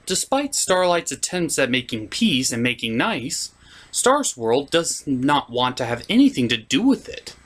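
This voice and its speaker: A man delivers comedic review commentary as a host. His pitch moves around a lot, and he comes across as sarcastic and exasperated, talking like someone riffing on a cartoon. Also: big pitch swings, a little slow, fairly bright tone.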